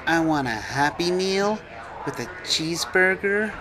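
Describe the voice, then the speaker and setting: A man's voice: on the high side, expressive, unhurried, crowd noise, voice pushed up, low-quality recording. A man voicing a timid, earnest little boy in a storybook reading, with a hesitant, childlike manner. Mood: earnest, polite.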